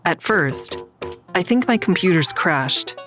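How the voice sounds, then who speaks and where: A woman's voice: fairly low pitch, expressive, takes it slowly, fairly bright tone, faint music behind. An actress playing an uneasy young woman narrating her own story in a hesitant, confiding way in an audio drama. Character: uneasy young female first-person narrator in over her head.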